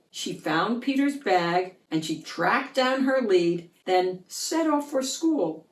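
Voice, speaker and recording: A woman reading a rhyming picture book aloud for children, in an expressive, sing-song way. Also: mid-range voice. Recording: roomy echo, distant mic.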